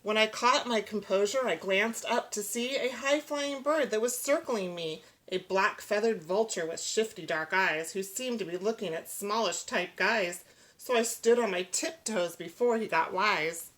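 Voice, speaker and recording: A woman reading a children's picture book aloud, giving the rhyming verse an expressive, rhythmic delivery. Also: medium pitch, a little slow, poor audio. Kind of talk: children's storybook read-aloud.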